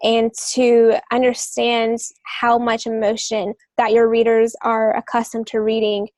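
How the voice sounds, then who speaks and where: A woman co-hosting a podcast, giving casual advice in a measured, explanatory manner. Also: on the high side, completely flat delivery, a little mellow, no echo. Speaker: podcast co-host and novelist.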